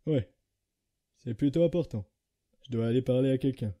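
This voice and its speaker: A man's voice: mid-range voice, moderate pace, dark tone, lightly acted. A man voicing a laid-back, reluctant skeleton in a comic dub, talking in a laconic, casual way. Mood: serious.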